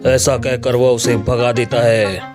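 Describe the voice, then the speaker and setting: A male narrator reads a scripted tale at a slow pace in a mid-pitched voice. Loud music runs behind him. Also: steady tone.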